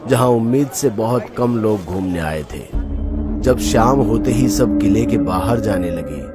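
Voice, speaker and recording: A man's voice: lowish voice, lively intonation, unhurried, a loud crowd. A man narrating a scripted horror story in a dramatic storytelling style. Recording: loud music behind the voice. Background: music.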